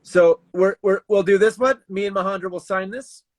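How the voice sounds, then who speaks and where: A man with a slightly high voice hosts a casual livestream raffle. He talks haltingly, thinking out loud as he works through small tasks, and the sound is close and dry. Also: steady tone, no room sound. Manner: halting, thinking aloud while doing tasks. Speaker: live raffle host.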